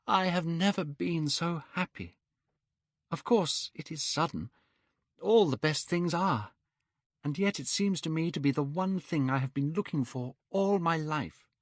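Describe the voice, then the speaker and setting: A man's voice: fairly high pitch, unhurried, no room sound, studio quality, pitched above the performer's own voice. A man voicing a giddy lovestruck young man.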